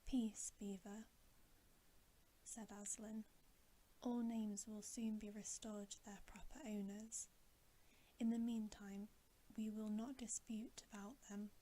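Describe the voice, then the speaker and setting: A woman whispering a bedtime story in a hushed, breathy, gentle and unhurried ASMR style. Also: mid-range voice, flat delivery, rough recording. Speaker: ASMR reader.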